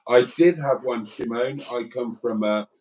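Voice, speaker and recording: A man's voice: mid-range voice, a little slow, a little mellow, recorded from a distance, poor audio. A man from Wales co-hosting a live stream, chatting casually and reading viewer comments aloud with rambling asides.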